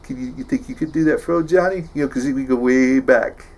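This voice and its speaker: A man playing a slow-witted, tough action-movie hero who stammers and mumbles through favours and boasts in a comedy sketch. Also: medium pitch, darkish tone, natural voice. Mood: casual pleading. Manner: halting, mumbling, stammering braggadocio.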